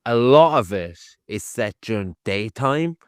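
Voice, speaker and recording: A man's voice: on the low side, lively intonation, a little slow, clean tone, no echo, clean studio sound. A man with an Irish accent, a podcast co-host giving a casual, conversational film review and thinking aloud as he goes. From Ireland.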